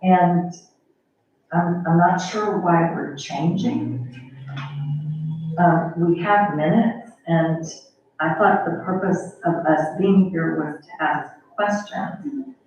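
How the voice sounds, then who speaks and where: A man city commissioner objects in a measured way during a public meeting. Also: a little high, a little hiss or hum. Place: council chamber. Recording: echoey chamber, distant mic, dull sound.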